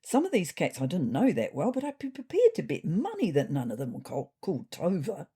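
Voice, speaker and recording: A woman's voice: fairly low pitch, wildly swooping pitch, fairly quick, dark tone, husky. A woman with a New Zealand accent reading scripted comic first-person narration as a podcast host, in a wry, dry-humoured way.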